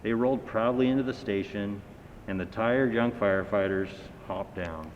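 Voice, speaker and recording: A man reading a children's story aloud with dramatic, expressive storybook narration. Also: moderate pace. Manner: dramatic, acting out sounds and dialogue. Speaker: fire battalion chief reading a children's story. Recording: echoey hall, dull sound, faint rumbling noise.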